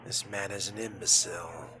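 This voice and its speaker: A man's voice: low-pitched, steady tone, normal speed, sharp tone, a little hiss or hum. A man role-playing through a game in character, reading and commenting in a formal, arch, often murmured way. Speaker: game player narrating in character.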